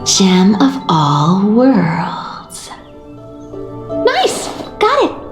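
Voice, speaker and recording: A woman's voice: on the high side, sing-song, takes it slowly, echoey room. A woman voicing a sly, playful and brash young female thief in a video game. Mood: playful, eager. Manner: teasing, brash, giggly. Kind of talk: acted video game dialogue. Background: background music.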